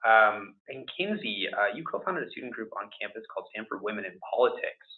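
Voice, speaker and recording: A man's voice: on the low side, animated, brisk, phone-quality sound. A man politely hosting as a panel moderator, with prepared introductions and questions.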